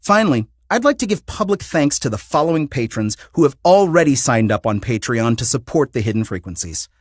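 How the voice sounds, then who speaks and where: A man hosting a podcast, reading a scripted announcement in a polished, deliberate presenter's manner. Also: mid-range voice, very animated, no echo, studio quality. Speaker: podcast host and show creator.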